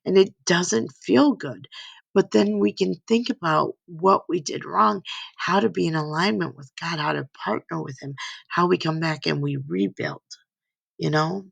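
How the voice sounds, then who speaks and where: An older woman with a hoarse voice gives an earnest faith-based motivational talk from her slides, rambling and often asking "right?" and "you know?". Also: fairly low pitch, normal speed, no echo. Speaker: speaker giving a slide talk.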